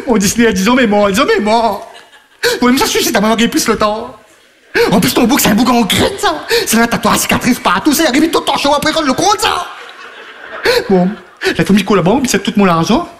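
A man's voice: extremely high pitch, slight background noise, full character voice. A man playing a boastful, party-loving husband who juggles his wife and mistress in a radio comedy sketch, talking in broken French, rambling and laughing. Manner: sing-song chanting and sudden shouting.

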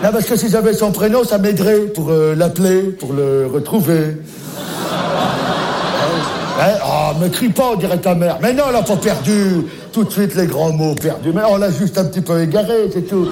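A man voices a forgetful grandpa phoning his daughter about the grandson he is minding, with a high voice. He rambles, loses his thread and repeats the same questions over and over, unbothered. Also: animated, moderate pace, noisy background. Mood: bumbling. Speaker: comic sketch character. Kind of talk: comic one-sided phone call sketch.

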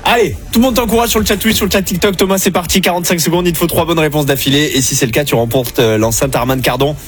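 Fast-talking, upbeat male radio host. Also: on the high side, soft background music. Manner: upbeat, hyping callers and chat. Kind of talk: energetic live radio banter. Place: radio studio.

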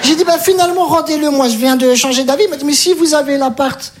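A woman's voice: high-pitched, fast. A woman performing a stand-up routine, telling a story and acting out the dialogue with energy. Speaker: stand-up comedian. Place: comedy stage. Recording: noisy live recording.

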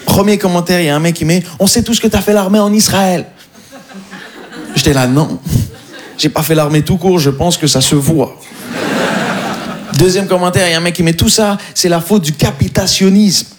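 A man doing stand-up comedy on a club stage, telling stories with animation and slowing down for punchlines. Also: high-pitched, slight background noise. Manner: animated storytelling, slows down for punchlines.

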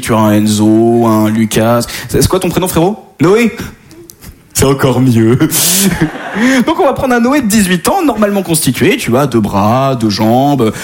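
A man performing a stand-up routine, narrating in a mock-documentary tone and mock-lecturing his audience. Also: fairly high pitch. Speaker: stand-up comedian.

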